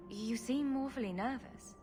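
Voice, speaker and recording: A woman's voice: fairly high pitch, moderate pace, dark tone, a little hiss or hum, close to an everyday voice. A woman playing a young human heroine in a fantasy video game, speaking in a dry, wry and direct manner. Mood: curious, skeptical.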